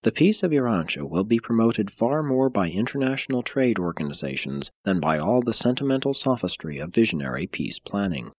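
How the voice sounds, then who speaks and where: Husky, expressive male audiobook narrator. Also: on the low side, fairly quick, few pauses, no room sound, dull audio. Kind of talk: formal scripted audiobook narration.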